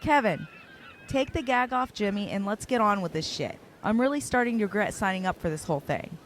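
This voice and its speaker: A woman's voice: medium pitch, animated, a little fast, slight background noise, natural voice. A woman voicing a blunt, exasperated talent show judge from the US. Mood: fed up. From United States. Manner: blunt, irritated.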